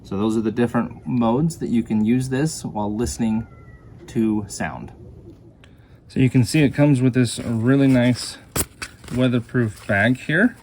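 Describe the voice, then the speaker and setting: A man's voice: mid-range voice, measured pace, a little mellow. A man with a mid-range voice gives a casual hands-on product demonstration. He explains things plainly, step by step, as a reviewer would. There is some noise behind his voice. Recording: clear background noise.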